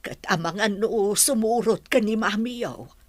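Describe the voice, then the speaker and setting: A woman's voice: medium pitch, a little slow, no room sound, natural voice. A woman with an Ilocano accent playing a concerned grandmother in a radio drama. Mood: concerned, gentle. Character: grandmother consoling her troubled daughter and grandchild.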